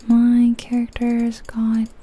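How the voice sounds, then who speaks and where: A woman whispering softly and breathily in an unhurried, musing ASMR commentary on a video game. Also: on the high side, completely flat delivery. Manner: hushed, unhurried, musing aloud.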